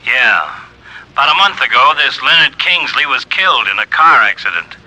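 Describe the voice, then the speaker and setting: A man's voice: mid-range voice, big pitch swings, moderate pace, sharp tone, low-quality recording. An actor playing a matter-of-fact man confiding case details in terse lines, in an old-time radio drama. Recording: vintage radio recording.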